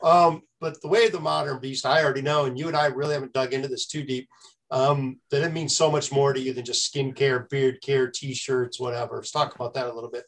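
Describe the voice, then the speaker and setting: A man's voice: mid-range voice, steady tone, a little fast, rough recording. A man hosting a podcast, talking in a conversational way with frequent stammered restarts. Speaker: podcast host. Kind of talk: casual podcast interview.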